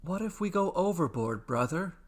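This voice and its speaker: A man's voice: sits high, lively intonation, normal speed, pitched above the performer's own voice. Man acting out a fretful, cowardly brother at sea. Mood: anxious. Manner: hesitant, worried questioning. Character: cowardly younger-sounding brother, anxious, fretful sailor. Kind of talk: dramatic storybook character acting.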